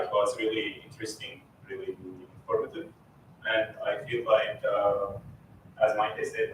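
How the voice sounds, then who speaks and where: Low-voiced Syrian man, roomy hall. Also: a little hiss or hum. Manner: earnest, leans on "like". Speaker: graduate intern. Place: town meeting hall. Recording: distant echoey meeting-room mic.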